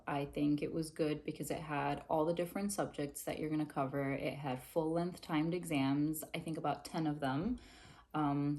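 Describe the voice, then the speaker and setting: A young woman from Zambia, a dental student, answering interview questions to camera in a measured, earnest way from a small room. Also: deep voice, darkish tone. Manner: measured, earnest, explains with hand gestures. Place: small indoor room, plain wall.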